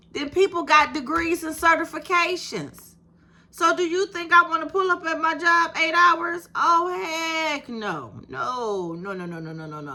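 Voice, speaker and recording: Soft-voiced woman in her 50s, slow and bright, chatty and encouraging, keeps asking you hear me. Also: extremely high pitch. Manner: animated, encouraging, talks to viewers with "baby" and "you hear me". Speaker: YouTube creator. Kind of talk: casual direct-to-camera talk.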